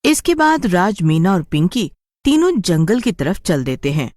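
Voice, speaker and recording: A woman narrates a scripted story with dramatic flair. Her voice is low and moves widely in pitch, in a dry, close recording. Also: big pitch swings, measured pace, darkish tone, no room sound.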